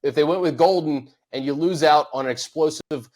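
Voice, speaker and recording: A man hosting a casual sports podcast chat, talking in a quick, conversational way and building on his co-host's points. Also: on the high side, darkish tone. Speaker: podcast host.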